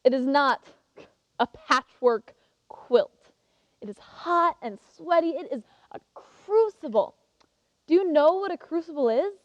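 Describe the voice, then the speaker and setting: A woman's voice: high-pitched, expressive, a little slow. A woman playing an eager, passionate teenage girl giving an earnest, emphatic contest speech in a theatrical monologue. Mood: fervent. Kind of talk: theatrical monologue as prepared oration. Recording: muffled, reverberant hall recording.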